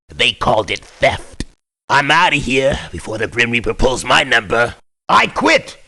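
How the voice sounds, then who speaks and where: A forceful, sharp-edged male mercenary for a video game. He is a tough ex-con, surly and blunt, with a lowish voice that swings widely in pitch and takes its time over short, defiant lines. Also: creaky, exaggerated voice. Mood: grumbling, then angry. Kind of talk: video game voice acting, short barked one-liners.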